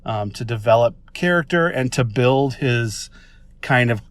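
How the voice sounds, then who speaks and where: A man's voice: mid-range voice, animated, a little slow, dry recording. An American man leaving a casual voice message, talking in an enthusiastic, informal and rambling way. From Minnesota, United States.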